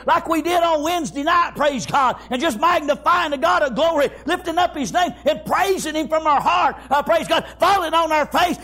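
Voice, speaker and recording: A man with a Southern US accent preaching an impassioned revivalist sermon, exclaiming praise and often shouting, in stop-and-go phrases full of repeated interjections. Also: very high voice.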